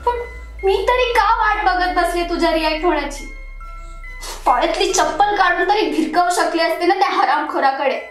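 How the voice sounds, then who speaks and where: Young woman doing a bitter accusing commuter, very high voice, big echo and music under it. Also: moderate pace, a bit bright. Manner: bitter, accusing, rising to anger. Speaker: actor in a one-act monologue. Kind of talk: dramatic stage monologue. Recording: very echoey room, music underneath.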